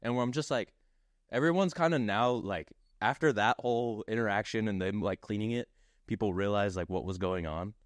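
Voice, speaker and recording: A man's voice: on the low side, fairly quick, no room sound, studio quality. A man chatting and telling stories casually as a podcast co-host, full of slang and swearing. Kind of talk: casual chat and storytelling.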